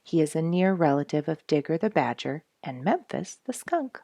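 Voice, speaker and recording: A woman's voice: low voice, big pitch swings, moderate pace, dark tone, no room sound, clean studio sound. A woman reading a children's storybook aloud expressively, speaking directly to young listeners. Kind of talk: animated storybook read-aloud.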